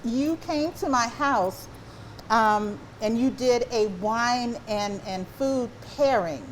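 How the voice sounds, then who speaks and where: A woman's voice: on the high side, slow pace, slight background noise, rough recording. A woman hosting a casual on-location interview in a kitchen. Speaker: host.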